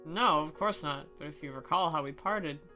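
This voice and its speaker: Woman acting a melodramatic, wounded schoolboy, bright. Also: deep voice, moderate pace, soft background music. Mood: pleading. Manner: dramatic, exaggerated. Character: bitter, wounded teenage schoolboy, melodramatic and self-pitying.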